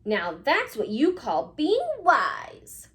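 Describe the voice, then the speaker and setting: A lazy young boy owl from a children's storybook, acted out by a woman, high and swooping in pitch. He exclaims his pleas urgently and puffs himself up with boastful pride, always scheming. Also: wildly swooping pitch, extremely slow, fairly bright tone, heavily acted. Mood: smug, proud.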